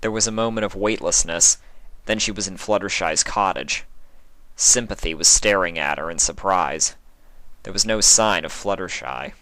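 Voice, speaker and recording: A man's voice: low voice, moderate pace, sharp tone, dry recording. A man reading fan fiction aloud as dramatic scripted narration.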